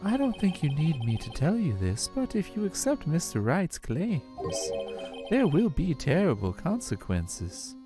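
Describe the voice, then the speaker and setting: A man's voice: a little high, wildly swooping pitch, unhurried, over music, pitched above the performer's own voice. A man voicing a smug, domineering middle-aged police chief in a fan voice-over of a courtroom game. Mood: menacing. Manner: smug, swaggering, menacing.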